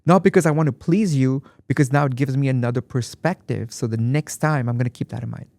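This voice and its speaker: A man's voice: medium pitch, fast, vocal fry, a little mellow, no echo. A man from Dubai, guest on an interview podcast, speaking reflectively and with emphasis, often repeating phrases. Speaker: guest artist. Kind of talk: conversational interview.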